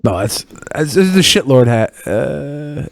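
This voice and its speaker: A man's voice: mid-range voice, animated, unhurried, gravelly, slight background noise. A man co-hosting a podcast with crude, joking, casual banter. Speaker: podcast co-host.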